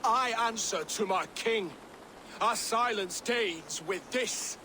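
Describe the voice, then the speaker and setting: An actor playing a grim, loyal, defeated soldier in a video game, grave and terse. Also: male voice, high voice, slow, full character voice. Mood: defiant. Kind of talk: dramatic video game acting. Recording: loud ambient noise like rain.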